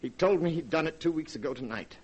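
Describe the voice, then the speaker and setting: An actor in a 1940s radio horror drama playing a cold, scheming murderer with a precise, melodramatic American delivery. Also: male voice, a little high, lively intonation, quick pace, a little mellow, slightly rough, highs cut off. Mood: feigned grief, uneasy. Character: cold, scheming murderer posing as grieving brother. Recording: faintly noisy vintage radio recording.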